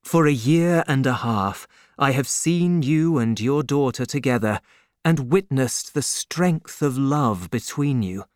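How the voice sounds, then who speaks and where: Man reading as a sincere young suitor, slow careful delivery, dry close mic. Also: mid-range voice, expressive, a little mellow, no room sound. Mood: earnest. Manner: earnest, measured. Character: earnest young gentleman suitor, sincere and humble.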